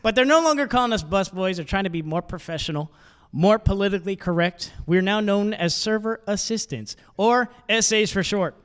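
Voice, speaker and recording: A man's voice: high-pitched, a little fast, a bit bright, clear, resonant tone. A man from South Texas doing a conversational, joking stand-up comedy routine. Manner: conversational joking with acted-out quotes. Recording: long hall reverb, live stage.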